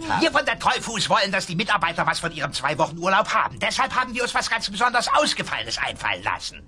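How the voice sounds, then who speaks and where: A man's voice: high voice, sing-song, fast talker, sharp tone, heavily acted. An actor voicing a slick, smug dinosaur company spokesman in a sitcom dub, pompous in manner. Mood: smug, official. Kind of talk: German-dubbed family sitcom acting.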